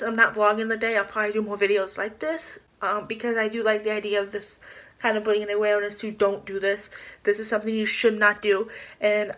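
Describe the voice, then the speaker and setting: A woman's voice: mid-range voice, fairly bright tone. A young woman vlogging from her small bedroom into a built-in camera mic, indignant and rambling with lots of "like" as she talks. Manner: indignant, rambling, many filler "like"s, gestures a lot. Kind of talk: casual vlog rant to camera.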